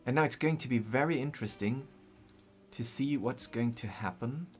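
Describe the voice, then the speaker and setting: A young Austrian man speaks English with a German-speaking accent, thinking aloud as he works through a map live. His talk is loose and halting, full of ums and restarts. The sound is a bit dull, with soft music underneath. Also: medium pitch. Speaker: streamer building a map. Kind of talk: casual live-stream chat. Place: small room at a desk, indoors.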